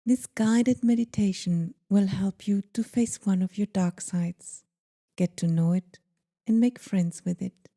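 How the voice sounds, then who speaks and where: Very dark, soft-toned slow woman guiding meditation. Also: medium pitch. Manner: soothing, deliberate, gentle delivery with pauses. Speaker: meditation guide. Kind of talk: guided meditation.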